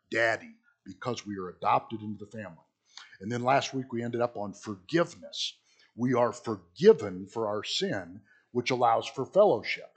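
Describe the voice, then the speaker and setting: A middle-aged male pastor teaching Sunday school with a hoarse, husky voice after being sick, talking casually and joking with asides. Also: medium pitch. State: hoarse from illness. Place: small church.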